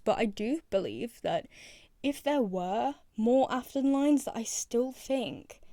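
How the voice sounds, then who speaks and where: A young woman talks as a video creator in casual ranking commentary. Her voice sits a little high, and she rambles in a self-deprecating way. The sound is dry, with no room echo. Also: a little mellow.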